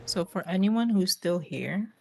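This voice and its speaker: A man with a Filipino English accent and a naturally high-pitched voice, chatting playfully with his raid group over a voice call. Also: expressive, measured pace, vocal fry, dark tone, a little hiss or hum. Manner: playful, teasing, laughs often. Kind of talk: casual voice chat while gaming.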